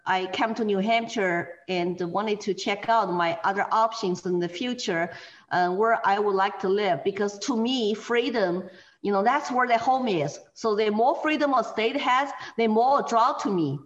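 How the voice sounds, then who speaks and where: A woman from China with a Chinese accent, speaking as an interview guest, earnest and animated, with many fillers and restarts. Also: mid-range voice, moderate pace, lo-fi sound. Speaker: guest, former political candidate. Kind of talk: interview over a call.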